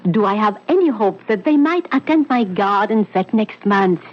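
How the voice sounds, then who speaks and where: An actress playing an eager, coaxing society hostess in an old radio drama, fluttery and pleading. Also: female voice, medium pitch, expressive, moderate pace, close to an everyday voice. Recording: dull vintage radio recording.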